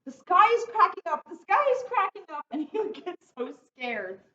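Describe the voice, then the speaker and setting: A woman's voice: very high voice, sing-song, moderate pace, pushed and bright. A middle-aged woman chatting casually and jokily as she co-hosts a storytime. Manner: jokey, giggly. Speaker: police officer co-hosting a storytime. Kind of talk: casual chat. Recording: echoey room, off-mic, dull, faint noise.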